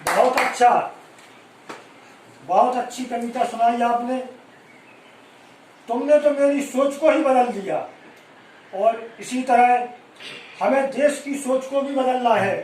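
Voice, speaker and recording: A performer playing a teacher in an amateur skit, speaking in a measured, encouraging way. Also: medium pitch, a little mellow, close to an everyday voice. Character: teacher listening to a student. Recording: echoey room, distant mic, faint hiss.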